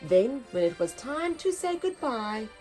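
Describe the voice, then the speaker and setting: A woman's voice: fairly high pitch, sing-song, a little slow, dark tone, faint music behind. A woman storyteller reading a children's storybook aloud, expressively, with a sing-song rhyming delivery.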